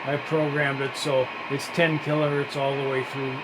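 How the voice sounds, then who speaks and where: A man giving a casual, unscripted walkthrough of some gear, in a halting, matter-of-fact way. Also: mid-range voice, level tone, background noise. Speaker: radio hobbyist demonstrating gear.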